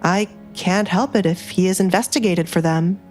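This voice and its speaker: A woman voicing a powerful male duke, cool, controlled and quietly menacing, in an audio romance drama. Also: on the low side, normal speed, soft background music, natural voice. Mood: quietly threatening.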